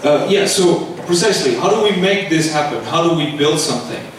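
A man's voice: a little high, animated, brisk, far from the mic, slight background noise. Man speaking from afar in an echoey hall. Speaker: conference speaker. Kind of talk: conference presentation.

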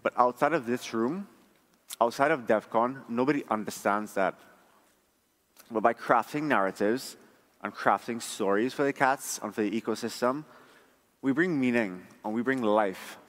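A man from Trinidad gives a prepared conference talk in a measured, conversational, explanatory way. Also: mid-range voice, fairly bright tone. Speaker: conference speaker, front-end engineer. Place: large conference room. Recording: echoey hall, long reverb.

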